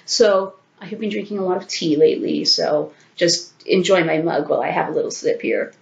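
A woman's voice: on the low side, fairly quick, gravelly. A woman in her thirties, sick with a sore throat and congestion, chatting frankly to her webcam at home, swearing freely and laughing between asides. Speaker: vlogger. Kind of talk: casual talking-head commentary. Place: small room at home, indoors. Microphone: webcam at desk distance. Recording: echoey room, dull lo-fi webcam sound.

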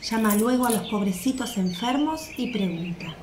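A woman's voice: medium pitch, expressive, moderate pace, a little mellow. A woman reading scripted narration for a radio drama. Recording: roomy sound with faint noise. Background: birdsong, outdoor ambience.

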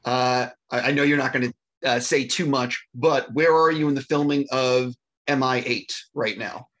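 A man interviewing an actor over a video call, asking quick, friendly questions in casual slang. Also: medium pitch, fairly bright tone.

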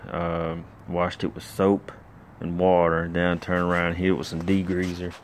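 A man's voice: deep voice, completely flat delivery, moderate pace, a little hiss or hum. A middle-aged man filming his own engine work with a phone, talking through each step in a plainspoken, rambling way with lots of fillers. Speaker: home mechanic filming a rebuild. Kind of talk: casual how-to commentary. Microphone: handheld phone camera.

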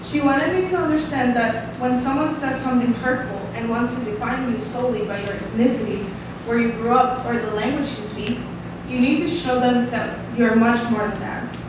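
A woman's voice: on the high side, moderate pace. A woman in her twenties with a Costa Rican Spanish accent, a native Spanish speaker from Costa Rica, giving an earnest prepared speech read from paper at a lectern. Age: 20s. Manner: earnest, reading aloud with hand gestures, light laughs. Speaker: student speaker. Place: small room, standing at a lectern. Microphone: stand mic at some distance. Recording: echoey room, distant mic, audible hiss.

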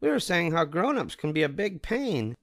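A man's voice: fairly high pitch, sing-song, a bit bright, no room sound, studio quality, pitched above the performer's own voice. A man voicing a curious, cheeky young boy bear cub in a storybook reading. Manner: quick wisecracking kid voice. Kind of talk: storybook read-aloud.

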